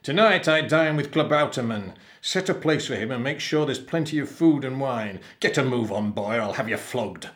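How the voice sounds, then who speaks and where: A man's voice: mid-range voice, expressive, moderate pace, a bit bright, close to an everyday voice. A man voicing a bullying, short-tempered pirate captain in a storybook tale, with a barking, growling manner. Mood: angry, commanding.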